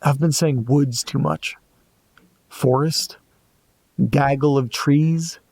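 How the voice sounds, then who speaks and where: A man playing an anxious amateur investigator in a horror audio drama, muttering hesitantly to himself in a gravelly voice. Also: mid-range voice, takes it slowly, darkish tone, no echo. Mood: puzzled, musing. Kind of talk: audio drama monologue, reading and musing aloud.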